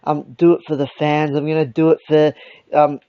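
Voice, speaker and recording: A young Australian man with a croaky voice, hosting a casual podcast chat, rambling and swearing with lots of fillers. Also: fairly high pitch, flat delivery, moderate pace, no echo. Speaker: podcast host. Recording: dull lo-fi sound.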